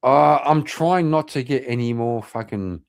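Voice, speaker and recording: A man's voice: mid-range voice, a little slow, clean tone. A man hosting a casual livestream, swearing often and talking in a blunt, combative way. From Northern Ireland. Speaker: livestream host.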